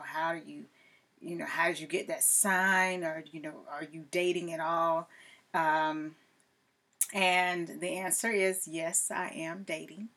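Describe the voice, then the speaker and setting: Slow, low-voiced woman in her forties. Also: fairly bright tone. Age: 40s. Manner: reflective, candid, with many fillers and restarts. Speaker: podcast host. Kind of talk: casual personal talk to camera. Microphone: camera.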